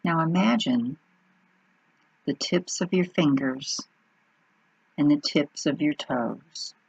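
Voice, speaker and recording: A woman leading an unscripted guided meditation in an unhurried manner, pausing between short phrases. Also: deep voice, gravelly, husky, no echo, lo-fi sound.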